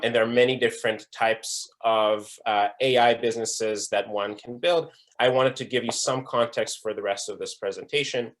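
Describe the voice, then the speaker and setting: A young man from Israel giving a presentation at an online breakout session, earnest, with frequent fillers and restarts. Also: mid-range voice. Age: young adult. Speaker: conference speaker. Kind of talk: presentation talk.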